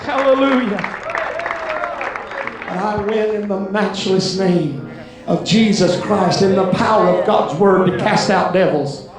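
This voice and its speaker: A man's voice: sits high, unhurried. An actor playing a fervent preacher who declaims a fiery sermon to cast out devils, drawing out his exclamations. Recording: echoey, distant, loud music and crowd behind.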